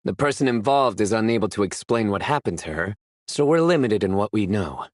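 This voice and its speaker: A man playing a stern, reserved young lawman in a video game, measured and deadpan. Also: lowish voice, natural voice. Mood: stoic, grave.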